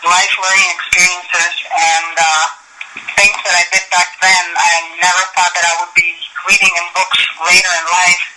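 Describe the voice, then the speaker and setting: A woman's voice: fairly low pitch, little pitch movement, moderate pace, noisy background. A woman from Mexico, a guest talking chattily and rambling with fillers. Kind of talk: interview on a call-in line.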